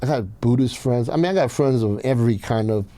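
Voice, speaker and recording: A man's voice: mid-range voice, brisk, dark tone. A man from Iran telling personal stories casually as a podcast host, blunt and swearing freely.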